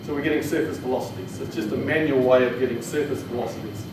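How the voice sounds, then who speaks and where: A man with a New Zealand accent presents technical training in an informal, plain-spoken way. He is picked up from far off in a very reverberant room, with clear noise behind him. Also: mid-range voice, quick pace. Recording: echoey room, distant mic, noisy.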